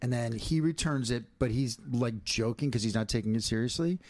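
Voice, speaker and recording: A middle-aged man in easy podcast banter, teasing, playful and quick to swear. His voice sits at an ordinary pitch for a man. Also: darkish tone. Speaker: podcast co-host. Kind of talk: casual banter.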